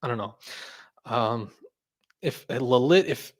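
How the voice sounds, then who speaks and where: A man's voice: mid-range voice, slow pace. A young man hosting a casual livestream, reading chat comments and rambling with fillers, restarts and frequent laughs. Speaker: host of a credit card livestream.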